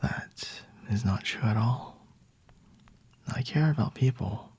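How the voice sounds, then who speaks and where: Slow, whispering, husky male vampire, acted by a man. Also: mid-range voice. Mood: intimate, flirty. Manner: slow, hushed, haughty and seductive, with lingering pauses. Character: flirty, aloof, high-ranking male vampire, intimate and teasing. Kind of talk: ASMR roleplay, scripted monologue to the listener. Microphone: close-up microphone.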